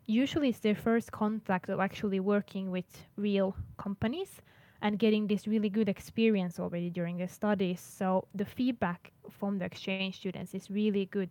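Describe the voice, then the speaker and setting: A woman's voice: mid-range voice, fairly even intonation, normal speed, dark tone, dry recording. Earnest Finnish woman answering interview questions. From Finland. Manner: earnest, laughs now and then, restarts words. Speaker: international services coordinator.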